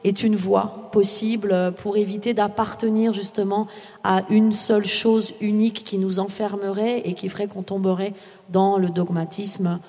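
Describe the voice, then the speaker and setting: A woman's voice: mid-range voice, level tone, moderate pace, mellow. Thoughtful woman panelist in a reverberant hall. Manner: thoughtful, openly weighing both sides. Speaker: writer on a panel. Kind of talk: panel discussion.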